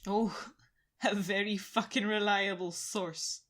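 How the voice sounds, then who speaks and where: An actress playing a compassionate, panicky survivor who swears freely, in a naturalistic audio drama. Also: female voice, medium pitch, fairly even intonation, slow pace, close to an everyday voice. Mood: angry panic.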